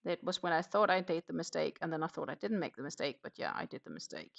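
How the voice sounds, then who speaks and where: A woman hosting a casual craft livestream, chatting haltingly and muttering to herself in a self-deprecating way. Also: sits low, expressive, gravelly, muffled recording. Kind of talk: casual livestream chat while knitting.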